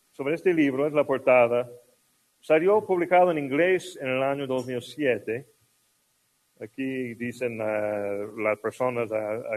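A man, native English speaker, giving a lecture in Spanish with an English accent, relaxed and self-deprecating. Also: mid-range voice, poor audio. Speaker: guest lecturer. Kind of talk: academic lecture.

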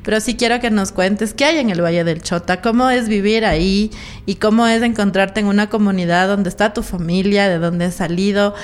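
Female host, average pitch, informal conversational interviewing style. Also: fairly even intonation, moderate pace.

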